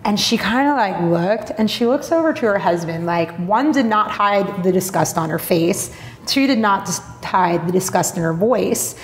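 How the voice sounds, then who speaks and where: A woman's voice: medium pitch, moderate pace. Blunt woman swearing in a roomy echo. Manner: blunt, swears freely, many fillers. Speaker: podcast guest, marketing blogger. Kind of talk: candid interview talk. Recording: roomy echo with faint noise.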